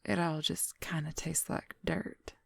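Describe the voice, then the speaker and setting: An affectionate female werewolf, acted out by a woman in an ASMR roleplay, whispering intimately and very close. The voice is slow and breathy, with gentle, playful teasing. The sound is dry and near. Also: sits low, little pitch movement, no echo, full character voice. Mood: casual, informative. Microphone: close microphone, dry.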